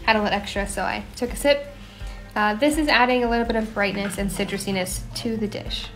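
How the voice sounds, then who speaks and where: A young woman hosting her own home cooking show, talking through each step in a relaxed, chatty way with small asides. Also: mid-range voice, brisk, soft background music. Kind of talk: casual cooking voice-over. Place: home kitchen.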